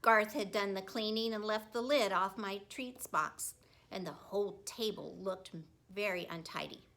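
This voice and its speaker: Female storybook narrator, teacher-like: expressive, deliberate, bright tone, unhurried pace. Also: mid-range voice. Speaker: teacher reading a storybook aloud. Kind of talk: expressive children's audiobook narration.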